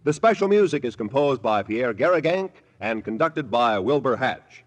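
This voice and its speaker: A man with an American accent announcing in a formal, measured mid-century network radio style. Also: mid-range voice, sing-song. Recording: vintage radio broadcast with music.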